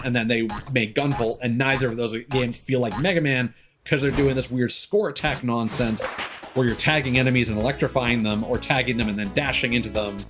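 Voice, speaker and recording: A man streaming games, chatting casually and giving opinions in halting phrases with emphatic bursts. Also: mid-range voice. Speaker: game streamer. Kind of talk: casual opinionated rambling on a livestream. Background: music behind the voice.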